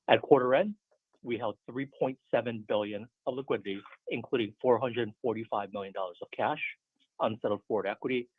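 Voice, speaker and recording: Low, husky man on a phone line. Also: dry recording. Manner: businesslike, steady reading. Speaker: finance executive on an earnings call. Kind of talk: formal scripted financial report. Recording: muffled narrow phone conference line.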